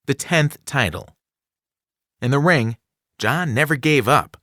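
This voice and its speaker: A man reading a children's nonfiction book aloud as scripted narration, enunciating clearly with a dramatic storytelling delivery. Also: medium pitch, expressive, slow, a bit bright, dry recording, studio quality. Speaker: audiobook reader.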